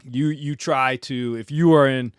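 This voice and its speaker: Relaxed rambling podcast host man. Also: mid-range voice, unhurried, clean tone, no echo, clean studio sound. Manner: relaxed, rambling, lots of fillers. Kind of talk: casual conversation. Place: aboard a sailboat.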